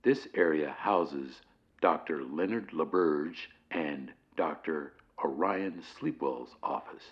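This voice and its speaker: A man playing a calm, formal corporate training guide in an analog horror video, speaking deliberately with measured pauses on a dull lo-fi recording. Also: very deep voice, heavily acted. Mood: measured, instructive. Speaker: narrator of fictional company training guide. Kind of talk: scripted instructional narration, analog horror.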